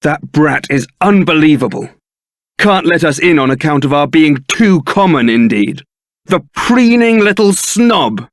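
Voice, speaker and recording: A man's voice: on the high side, lively intonation, a little slow, no room sound. A man playing a suave, sardonic young templar knight and roguish ladies' man in a fantasy video game, with a dry, theatrical delivery. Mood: indignant.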